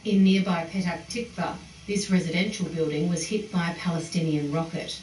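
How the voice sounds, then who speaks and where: Low-voiced woman reporting the news. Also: moderate pace. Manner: steady, clipped reporting tone. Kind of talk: scripted news report narration. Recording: distant replayed broadcast, roomy, faint noise.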